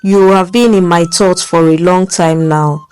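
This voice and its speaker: A woman voicing a caring female friend, speaking softly and reassuringly in a lower voice. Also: steady tone, a little slow, clean tone, faint music behind, close to an everyday voice. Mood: soothing. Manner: soft, soothing, reassuring.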